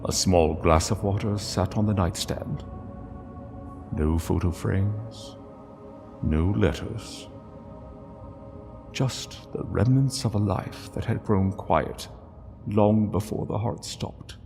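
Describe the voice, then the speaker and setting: A man narrating a sombre story in a solemn, hushed and deliberate way. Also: fairly low pitch, vocal fry, long reverb tail, over music.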